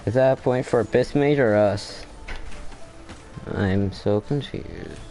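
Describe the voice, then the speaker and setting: A man's voice: fairly low pitch, slow, warm tone, faint music behind. A man giving casual let's play commentary, musing aloud in a hesitant way. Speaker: gaming video creator.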